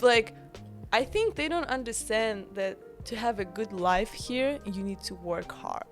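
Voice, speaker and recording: A woman's voice: fairly high pitch, lively intonation, measured pace, faint music behind. Chatty Ukrainian-accented young woman. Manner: chatty, many fillers, non-native grammar. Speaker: guest, bakery founder. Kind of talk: casual interview chat.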